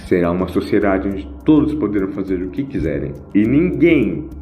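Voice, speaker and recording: Grandiose celestial renegade for audio drama, man acting, dark declamatory delivery, lowish, boxy echoey sound. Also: very animated, moderate pace, dull audio, faint music behind. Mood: grandiose, zealous. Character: the Wanderer, renegade celestial being, grandiose would-be utopian.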